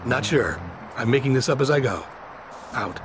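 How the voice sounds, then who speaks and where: An actor playing a terse, authoritative special agent in clipped video game cutscene dialogue. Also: male voice, mid-range voice, expressive, normal speed, noisy background, close to an everyday voice. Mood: terse, controlled. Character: terse, commanding male special agent leading stranded soldiers.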